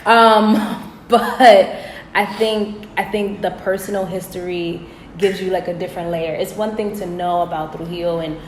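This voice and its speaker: A woman hosting a casual interview, talking in a chatty, animated way with lots of "like". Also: fairly low pitch, a little slow, reverberant, slight background noise, poor audio. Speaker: host. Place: indoors in the Dominican Republic.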